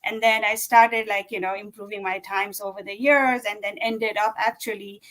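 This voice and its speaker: A woman's voice: medium pitch, steady tone, normal speed, a bit bright, rough recording. A woman, a guest chatting casually and rambling with many fillers. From Nepal. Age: 40s. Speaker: guest runner. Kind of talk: casual interview chat.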